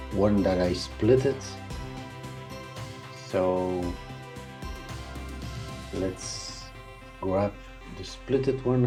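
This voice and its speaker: Middle-aged Dutch-native man, tutorial presenter, low voice, faint music under. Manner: hesitant, many ums and uhs. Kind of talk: screen-recorded software tutorial.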